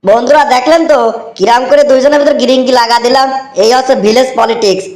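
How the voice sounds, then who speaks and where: A man's voice: very high-pitched, fairly even intonation, fast. A man voicing a fawning, scheming village henchman in a comic cartoon, chatty and cajoling, with a rural Bangladeshi Bengali accent. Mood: gleeful, conspiratorial.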